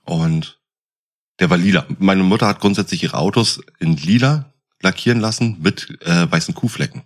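Male, very low and rough-edged. Animated, casual anecdote teller. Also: normal speed.

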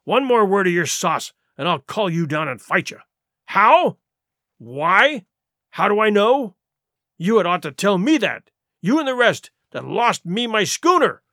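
Guy doing a sly bossy pirate cook, blustering and sneering, swoops around, bright storybook voice. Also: high voice, very animated, measured pace, dry recording, clean studio sound, heavily acted. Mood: angry, threatening. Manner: blustering, derisive, rustic seafaring speech. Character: one-legged pirate sea cook, sly, domineering ringleader. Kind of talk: audiobook character voice.

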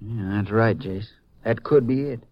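An actor playing a plainspoken, cooperative small-town Texas sheriff with a hesitant, folksy manner, in 1950s radio style. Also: male voice, on the low side, a little slow, no room sound, close to an everyday voice. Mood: earnest, puzzled. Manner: hesitant, plainspoken, drawling rural phrasing. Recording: muffled, noisy 1950s radio recording.

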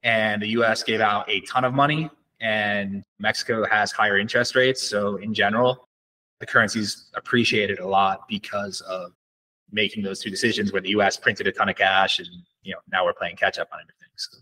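A man's voice: on the low side, steady tone, a little fast, bright, forceful tone, low-quality recording. A man speaking as an entrepreneur guest in a casual interview, explaining things in a conversational way.

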